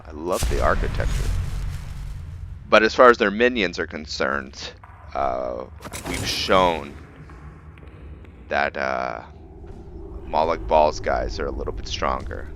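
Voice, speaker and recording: A man's voice: rumbling low, animated, takes it slowly, pauses often, fairly bright tone, slight background noise. A man giving casual, chatty gameplay commentary as a video host, thinking aloud with enthusiasm.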